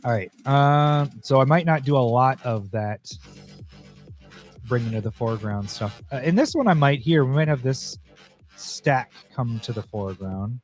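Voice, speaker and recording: Slightly hoarse man chatting over faint music. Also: medium pitch, expressive, moderate pace. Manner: chatty, easily annoyed, thinking aloud. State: slightly hoarse, losing his voice. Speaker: host. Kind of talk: casual livestream commentary while editing.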